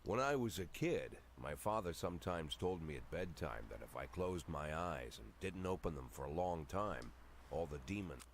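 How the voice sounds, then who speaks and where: An actor playing a cynical, haunted middle-aged police chief in a brooding noir video game monologue. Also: male voice, extremely low pitch, lively intonation, slight background noise. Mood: brooding, reflective. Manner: brooding, confessional, measured. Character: world-weary, cynical male police chief, haunted and bitter. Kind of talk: dramatic noir game narration.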